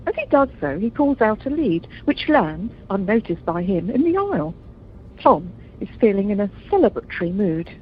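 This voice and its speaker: A woman acts as storyteller for an amateur radio comedy, reading the scripted action. Her mid-pitched voice is lively in intonation, on a dull conference line with faint noise. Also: moderate pace, natural voice. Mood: descriptive. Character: female narrator describing the action. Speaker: narrator.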